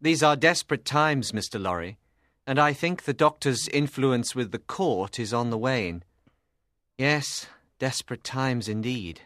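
Smooth, quietly knowing man actor's performance. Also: mid-range voice, animated, normal speed, no echo, clean studio sound, lightly acted. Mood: grave. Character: cool, quietly menacing young lawyer. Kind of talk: dramatised audiobook acting.

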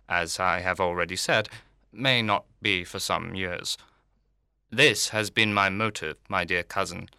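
Give me a man playing a pompous, bright-voiced clergyman. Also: low voice, clean tone, dry recording, clean studio sound, heavily acted. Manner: formal, pompous, long-winded. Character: pompous, self-satisfied clergyman proposing marriage. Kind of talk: dramatised literary audiobook reading.

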